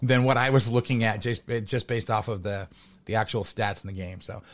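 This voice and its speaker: A man's voice: lowish voice, brisk. American man hosting a rambling sports chat. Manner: rambling, repeats words as he thinks aloud. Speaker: podcast host interviewing a sports guest.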